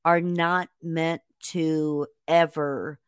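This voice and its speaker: Woman with a low, smooth, dry voice. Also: little pitch movement, extremely slow, no echo. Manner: affirming, with frequent agreeing murmurs. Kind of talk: casual podcast conversation.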